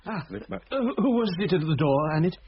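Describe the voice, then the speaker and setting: A diffident young-sounding teacher in a radio play. His fairly high voice is lively in pitch and hesitantly polite, with faint hiss behind it. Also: normal speed. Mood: polite, awkward. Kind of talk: radio drama acting. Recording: dull, faintly noisy radio drama recording.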